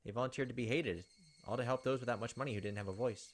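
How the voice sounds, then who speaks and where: A man's voice: mid-range voice, quick pace. A young man hosting a gaming video, reading a visual novel aloud with casual asides.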